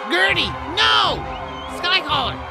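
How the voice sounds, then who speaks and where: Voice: very high voice, big pitch swings, takes it slowly, full character voice. An actor playing a panicky, reluctant little male elf fighter in an audio drama, stammering and alarmed. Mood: flustered. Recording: loud music and crowd behind.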